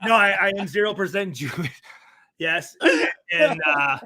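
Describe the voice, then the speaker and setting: A man's voice: high-pitched, a little slow, bright, forceful tone. A man in his thirties chatting casually as a podcast co-host, rambling and joking with frequent swearing. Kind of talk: casual chat.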